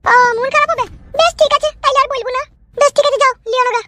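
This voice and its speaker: A woman voicing a shrill, sarcastic, foul-mouthed village wife in a comic cartoon. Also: steady tone, quick pace, sharp tone. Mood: sulky. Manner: scolding, sarcastic.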